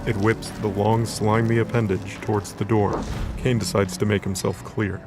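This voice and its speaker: A man's voice: fairly low pitch, level tone, normal speed. A man reading scripted, dramatic narration for an audio drama story. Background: background music.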